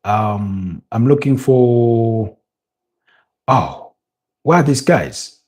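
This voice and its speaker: A man's voice: mid-range voice, expressive, drawn-out words, darkish tone. A man with a Ugandan accent hosting a live football watch-along, chatting casually and reading viewer comments with lots of filler sounds. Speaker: livestream host.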